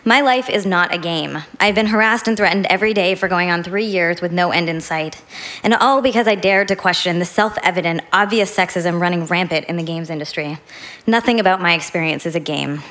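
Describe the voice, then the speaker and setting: A woman giving a prepared talk in a measured, deliberate way, heard in a played clip. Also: fairly low pitch, a bit bright. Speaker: speaker in a played video.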